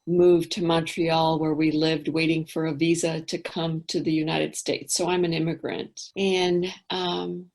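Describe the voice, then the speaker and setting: A middle-aged woman as an interview guest, speaking thoughtfully and candidly, often trailing off into quiet asides. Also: low-pitched, level tone, a little slow, hardly any pauses. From England. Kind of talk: reflective interview talk.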